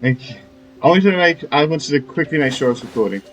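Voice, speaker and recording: A man's voice: fairly high pitch, expressive, brisk, fairly bright tone, poor audio. A man commentating casually as he plays a video game, informal and reactive. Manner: informal, reactive, swears mildly. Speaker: gaming streamer. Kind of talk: casual let's-play commentary. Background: game music.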